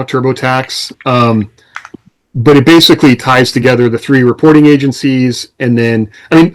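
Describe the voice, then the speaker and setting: A man's voice: medium pitch, rough recording. A man co-hosting a casual podcast, talking in an explanatory, conversational way. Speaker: podcast co-host and author.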